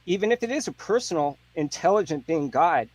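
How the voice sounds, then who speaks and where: A man's voice: a little high, animated, brisk, no room sound, rough recording. A fifty-year-old man debating, casual and rambling, frustrated at being talked over. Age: 50s. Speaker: debate guest. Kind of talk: heated debate.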